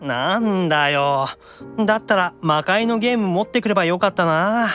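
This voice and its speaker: A man acting as a sulky, teasing young male demon who grumbles and retorts quickly, in an anime-style audio drama. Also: high-pitched, a bit bright, faint music behind. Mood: grumbling, curious.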